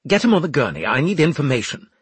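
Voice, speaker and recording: A man's voice: on the high side, big pitch swings, quick pace, breathy edge, dry recording, pitched above the performer's own voice, heavily acted. A man voicing a haughty, irritable military officer for an audiobook, clipped, sarcastic and demanding. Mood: commanding.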